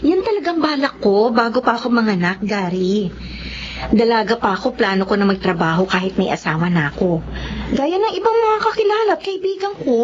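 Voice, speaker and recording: A woman's voice: a little high, big pitch swings, fairly quick, slight background noise. A woman playing a determined Filipino working mother in her thirties in a radio drama. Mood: firm, insistent. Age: 30s. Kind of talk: radio drama acting and narration. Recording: muffled lo-fi audio under music.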